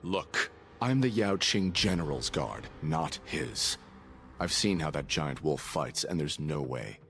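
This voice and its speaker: A male actor playing a cold, world-weary assassin bodyguard in a video game, speaking in a blunt, deadpan way. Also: very deep voice, slow, creaky, slight background noise, heavily acted. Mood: grim, blunt. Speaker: character voice actor. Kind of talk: video game story dialogue. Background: background music.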